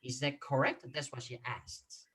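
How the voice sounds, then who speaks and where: A man's voice: mid-range voice, steady tone, a little slow, bright, forceful tone, breathy edge. A man in his thirties teaching an online Korean class, explaining patiently with examples and many fillers. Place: home room, video call.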